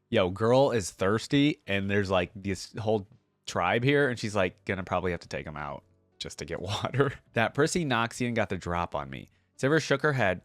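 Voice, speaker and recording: Male host, lowish voice, dry close sound; relaxed colloquial delivery for lore reading and casual commentary. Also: dry recording. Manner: laid-back, colloquial fan talk. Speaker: reaction video host reading lore aloud.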